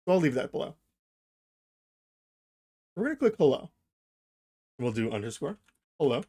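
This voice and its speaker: A man narrating a casual software tutorial, explaining things informally, step by step, as its host. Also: on the high side, big pitch swings, normal speed, slightly rough.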